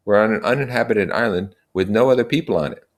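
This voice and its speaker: A man's voice: on the low side, quick pace, pitched above the performer's own voice, lightly acted. A man voicing an earnest, reasonable boy leader who declares things in short, plain statements, in a storybook reading.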